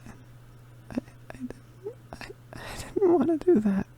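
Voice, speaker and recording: A woman playing a gentle, protective young male witch who is hurt and vulnerable, speaking in halting, breathy, whispered ASMR roleplay. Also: high voice, very animated. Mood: tearful, scared. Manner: halting, stammering, hesitant. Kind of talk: ASMR roleplay, soft intimate acting.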